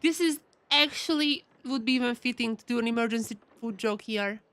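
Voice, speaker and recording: A woman giving casual, chatty live commentary on a video game, excitable and often repeating her phrases. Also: on the high side, a little fast. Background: game music.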